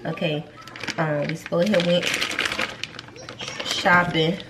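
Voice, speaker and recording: Gravelly Southern mom vlogging at home. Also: female voice, on the low side, fairly even intonation, slow pace, very noisy. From North Carolina. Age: young adult. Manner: rambling, repeats herself, talks to viewers as y'all. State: stuffy nose. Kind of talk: casual vlog chat. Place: home kitchen.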